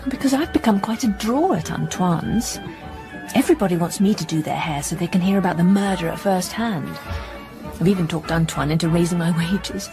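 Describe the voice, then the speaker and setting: An actress playing a frank, curious young English hairdresser in a radio drama, with an English accent and a matter-of-fact manner. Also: female voice, on the low side, fairly quick, over music, close to an everyday voice. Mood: concerned, frank.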